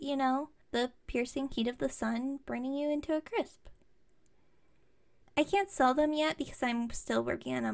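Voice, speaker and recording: A woman's voice: high-pitched, gravelly. A woman playing an enthusiastic, scatterbrained young witch shopkeeper in an ASMR roleplay, chatting and rambling to the listener. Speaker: voice actor in audio roleplay. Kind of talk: ASMR roleplay, one-sided conversation with listener.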